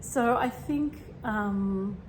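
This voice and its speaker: A woman with a slightly croaky voice talking casually and meanderingly about spirituality, with many fillers and throat clearing. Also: mid-range voice, drawn-out words, rough recording. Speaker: spiritual vlogger. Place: home room with a fan running. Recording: steady fan hum behind. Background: fan noise.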